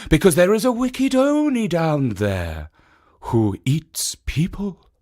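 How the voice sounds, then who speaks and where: Man as a high-voiced, kindly stone guardian statue. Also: very animated, slow pace, a little mellow, full character voice. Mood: warning.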